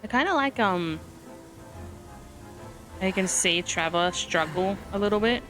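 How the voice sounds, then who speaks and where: A woman's voice: mid-range voice, lively intonation, measured pace, fairly bright tone, faint music behind. Playful woman streaming a video game. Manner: playful, reactive, casual swearing. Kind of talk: casual gameplay commentary.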